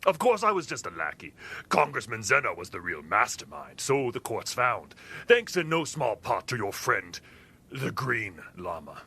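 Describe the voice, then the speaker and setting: A man's voice: mid-range voice, very animated, normal speed, creaky, sharp tone, husky, full character voice. An actor playing a sly, smug crime boss posing as a businessman in an audio drama, pompous and taunting, slipping between murmurs and flourishes. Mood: gloating, sly.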